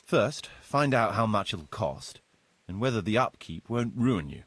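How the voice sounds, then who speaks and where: An actor playing a courteous, businesslike medieval village steward in a video game, explaining duties in a measured, formal way with a slightly husky voice. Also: male voice, fairly low pitch, sing-song, dry recording, exaggerated voice. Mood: instructive, firm.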